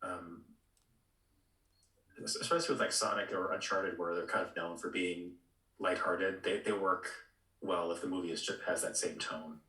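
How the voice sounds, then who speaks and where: Man, very low bright voice, halting delivery, casual podcast panelist, roomy echo. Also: steady tone. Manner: halting, filler-heavy.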